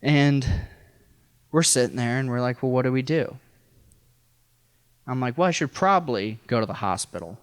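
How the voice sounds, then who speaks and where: A man's voice: medium pitch, normal speed, pauses often, clean tone, no room sound. An American man from rural Missouri telling a personal story casually as a podcast guest, in a wry, self-deprecating, profane way. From rural Missouri, United States.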